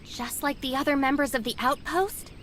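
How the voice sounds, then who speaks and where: A woman's voice: sits high, moderate pace, a bit bright, slight background noise. A woman voicing an eager, anxious young explorer in a video game, speaking earnestly and full of questions. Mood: concerned. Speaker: game character. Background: game music.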